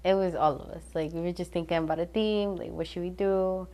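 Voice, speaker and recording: A teenage girl from New Jersey with a lowish voice answers a host's questions. She talks informally, fills her sentences with "like", and laughs easily. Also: fairly even intonation, brisk, dark tone. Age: teens. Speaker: guest, student filmmaker. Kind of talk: casual interview answers.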